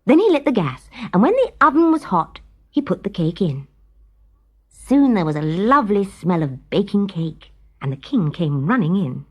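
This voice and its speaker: A woman narrating a scripted children's story as an expressive storyteller. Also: medium pitch, moderate pace, slightly rough, muffled recording. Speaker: story reader.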